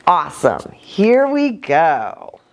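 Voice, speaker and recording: A woman's voice: low-pitched, wildly swooping pitch, slow pace, slight background noise. A woman hosting a children's storytime as a pastor, talking to young children with lots of questions and reading aloud with little asides. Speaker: children's ministry host and pastor.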